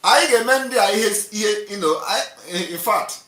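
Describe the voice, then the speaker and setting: A man's voice: high-pitched, normal speed, fairly bright tone. A man hosting a political livestream, defiant and piling up repeated rhetorical lists. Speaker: livestream host. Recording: roomy, distant from the mic.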